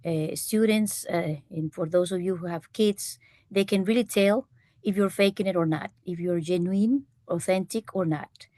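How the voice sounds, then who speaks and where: A woman's voice: fairly low pitch, normal speed, dark tone, dry recording, clean studio sound. A woman with a Costa Rican Spanish accent, a native Spanish speaker, speaking earnestly as a panelist with many "eh" fillers. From Costa Rica. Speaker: panelist, economics faculty. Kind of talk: panel discussion. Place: university event room.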